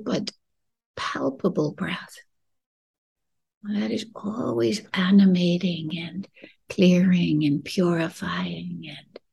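A woman leading a guided meditation in a gentle, soothing, breathy voice that often drops near a whisper, unhurried with long pauses. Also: on the low side. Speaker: podcast host and meditation guide.

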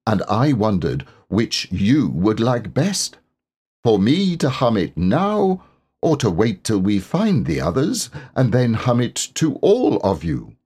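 A man voicing a gentle, earnest, slightly shy male bear in a storybook, speaking thoughtfully and a little hesitantly. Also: fairly low pitch, huge pitch swings, slow, husky, full character voice.

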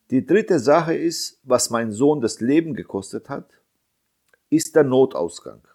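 Indignant German man around fifty. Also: medium pitch. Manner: indignant, emphatic, repeats key points; sharp rhetorical questions. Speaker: interviewee, bereaved father. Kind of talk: impassioned interview testimony.

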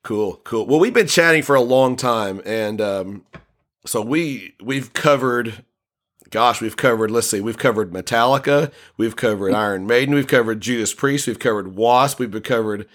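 A man's voice: medium pitch, moderate pace, a bit bright. Chatty male host, rambling casual delivery, mid-range voice. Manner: rambling, chatty, restarts a lot. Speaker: podcast host. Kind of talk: casual chat.

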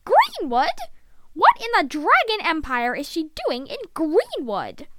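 Woman voicing a whiny, high-pitched young elf boy. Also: wildly swooping pitch, moderate pace, a bit bright, dry recording, heavily acted. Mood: incredulous. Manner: whiny, exasperated, emphatic. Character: sulky, put-upon young male dark elf, complaining.

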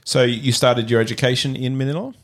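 A man's voice: medium pitch, steady tone, brisk. Hesitant man hosting a church podcast. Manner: hesitant, restarts questions. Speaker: podcast host.

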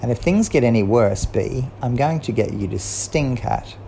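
A man voicing Santa Claus in a bedtime story, measured and disapproving. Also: medium pitch, animated, vocal fry, warm tone, a little hiss or hum, rough recording. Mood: stern. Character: stern, fair Santa Claus.

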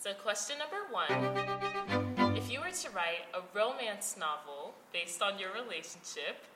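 A woman's voice: mid-range voice, big pitch swings, unhurried, reverberant, loud music behind the voice. A teenage girl hosting a podcast interview, reading her questions with light laughs in between. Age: teens. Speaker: podcast host.

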